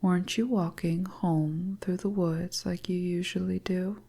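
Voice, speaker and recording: A woman narrating a scripted story in a hushed, deliberate ASMR whisper, as a storyteller. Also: fairly low pitch, steady tone, vocal fry.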